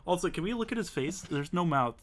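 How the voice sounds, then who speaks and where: A man's voice: a little high, animated, brisk, a bit bright. A man playing through a story game with friends, narrating scenes and joking with the others. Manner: joking and laughing between lines. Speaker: player and narrator. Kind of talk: casual gaming chat and narration.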